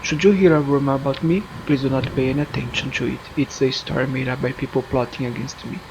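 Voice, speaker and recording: A man playing a young man in a horror video game, reading notes and musing to himself in a deliberate way. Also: mid-range voice, fairly even intonation, noisy background, lightly acted. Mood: measured reading.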